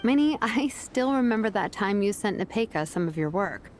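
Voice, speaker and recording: A woman voicing a perceptive, caring big-sister figure in a video game, speaking frankly in a measured, reassuring way. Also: medium pitch, lively intonation, slight background noise, natural voice. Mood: persuasive. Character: perceptive, self-assured, caring cool big-sister type.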